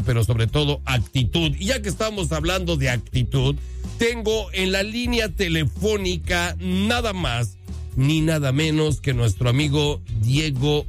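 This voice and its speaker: A male radio presenter with a fairly high voice addresses listeners in an upbeat, emphatic style. His phrasing is courteous and announcer-like, with words drawn out for effect, and music plays behind him. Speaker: radio host. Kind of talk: upbeat live radio show presenting and interviewing. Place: radio studio. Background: music playing under the voice.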